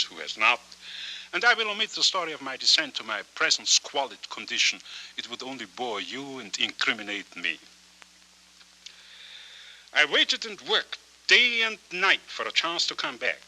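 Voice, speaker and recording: An actor in a 1940s film noir playing a cynical, fallen small-time swindler with formal, theatrical, silkily courteous speech. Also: male voice, on the high side, bright, forceful tone, full character voice, low-quality recording. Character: florid, cynical small-time chiseler, fallen grand scoundrel. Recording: hissy 1940s film soundtrack.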